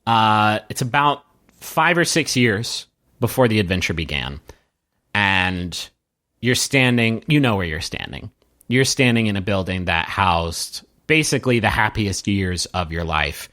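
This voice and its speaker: A man working as game master, improvising tabletop game narration and addressing the player directly in a halting, searching way. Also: on the low side, animated, sharp tone.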